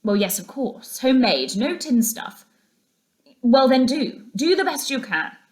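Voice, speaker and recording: A woman's voice: high-pitched, big pitch swings, voice pushed up. Woman voicing a hearty, masterful rat. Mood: brisk, bossy. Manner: brisk, commanding, particular. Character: hearty, masterful male rat, bossy and capable. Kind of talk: children's storybook character voice.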